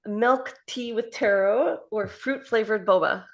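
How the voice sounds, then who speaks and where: A woman in her forties interviewing a guest over a video call, friendly and laughing often as she asks rapid-fire questions. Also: on the high side. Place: home office with piano. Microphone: laptop webcam on video call.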